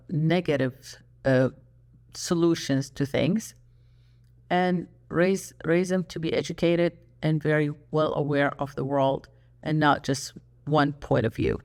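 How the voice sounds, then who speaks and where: An older speaker from Iraq, an Arabic speaker hosting a solo channel monologue, earnest and reflective with pauses. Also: medium pitch, darkish tone. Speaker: YouTube channel host.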